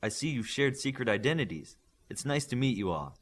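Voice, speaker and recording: A man's voice: medium pitch, sing-song, fairly quick. A man voicing a hospitable, polite Japanese mother and scientist in an audiobook, with a welcoming, courteous manner.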